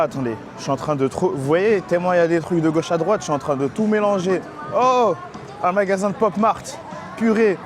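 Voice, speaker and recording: An excitable young man from France chats straight to his viewers as he wanders busy city streets. His voice sits a little high and runs on in slangy bursts, with background music mixed clearly under the talk. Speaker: vlogger. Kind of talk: casual travel vlog talk.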